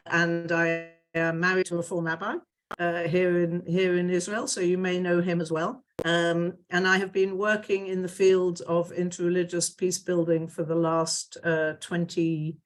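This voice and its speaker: A middle-aged woman with a British accent, introducing herself on a panel video call, with many hesitations and restarts. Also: lowish voice. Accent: British English. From United Kingdom. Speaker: executive director of a dialogue center.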